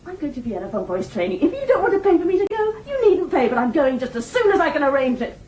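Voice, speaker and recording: A woman's voice: sits high, very animated, fast, a little mellow. A defiant young woman, swooping expressively. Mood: defiant, angry. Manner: emotional, swings between coy and indignant. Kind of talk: audio drama acting.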